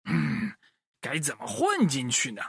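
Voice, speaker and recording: A man's voice: medium pitch, wildly swooping pitch, measured pace, fairly bright tone, husky, no room sound, voice pushed down, heavily acted. A man voicing a greedy, scheming bald woodcutter in a children's story, with a sly, exclamatory cartoon manner. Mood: pondering, scheming.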